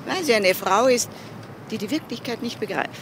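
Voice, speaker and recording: An actress playing a chatty, judgmental gossip in a crime drama, talkative, knowing and quick to answer for others. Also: female voice, on the high side, animated, background noise. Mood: knowing, dismissive.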